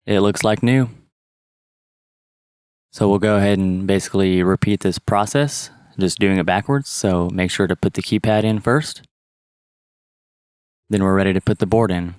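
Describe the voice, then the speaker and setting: A man's voice: low-pitched, steady tone, moderate pace, long pauses, darkish tone, clean tone, no echo. A man narrating a step-by-step repair tutorial in a plain, instructional manner. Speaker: how-to presenter.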